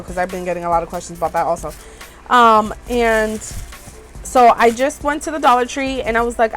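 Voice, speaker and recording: A woman beauty vlogger with a stuffed-up, congested voice, chatting casually and rambling with lots of ums while she shows her purchases. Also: fairly high pitch. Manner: chatty, rambling, many ums and "you guys". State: stuffed up from allergies. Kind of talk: casual haul chat. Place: small RV room.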